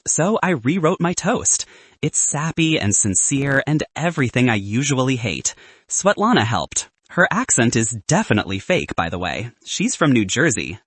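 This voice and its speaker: A man's voice: medium pitch, very animated, moderate pace, a bit bright, breathy edge, dry recording. A man reading the part of a cynical, self-deprecating best man in an audiobook romance, wry yet earnest and confessional. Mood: wry, sincere. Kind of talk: audiobook character dialogue.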